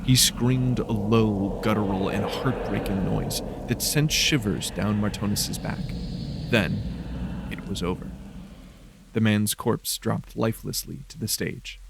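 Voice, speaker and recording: A man's voice: fairly low pitch, fairly even intonation, a little slow, creaky, heavy background noise. A man narrating scripted horror fiction in a dramatic storytelling style.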